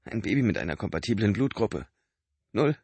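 A man's voice: on the low side, sing-song, brisk, creaky, darkish tone, breathy edge, no echo, voice pushed up, full character voice. A man voicing an exhausted adult man who pleads urgently and breathlessly with his brother. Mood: urgent pleading.

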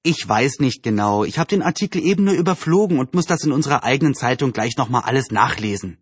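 Storybook mother, read by a man: mild, patient, explanatory, slightly weary, husky edge. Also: medium pitch, animated, fast talker, dry recording.

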